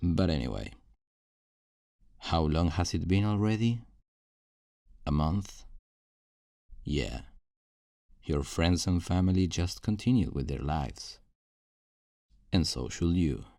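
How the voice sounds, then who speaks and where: A man's voice: low-pitched, animated, takes it slowly, vocal fry, long pauses, warm tone, dry recording, clean studio sound, heavily acted. A man playing a possessive, self-righteous kidnapper in an ASMR roleplay, speaking in a condescending, coaxing, menacingly tender way. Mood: condescending tenderness. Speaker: audio roleplay performer.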